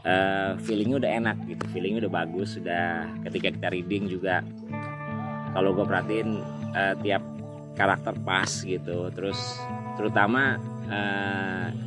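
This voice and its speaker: A man with a Jakarta accent talks casually as an actor in an interview. His voice sits on the low side, and loud guitar music plays behind him. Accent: Jakarta Indonesian. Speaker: actor in a film.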